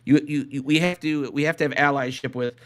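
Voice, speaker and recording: A man co-hosting a casual unscripted podcast, speaking haltingly and repeating phrases for emphasis. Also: mid-range voice, fairly even intonation, a bit bright. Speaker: podcast co-host.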